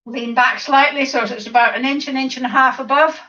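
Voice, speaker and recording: A woman over fifty with a croaky voice, leading a seated exercise class, counting reps and adding chatty asides. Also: high-pitched, fairly even intonation, a little fast, sharp tone. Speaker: fitness instructor. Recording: distant mic, dull lo-fi sound.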